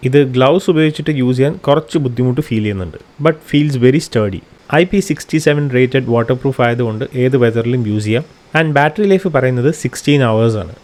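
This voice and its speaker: Male gear vlogger, Malayali accent, brisk review narration, mid-range voice, code-switches into English. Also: medium pitch, a little mellow. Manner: mixes English phrases into speech. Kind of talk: scripted product review narration.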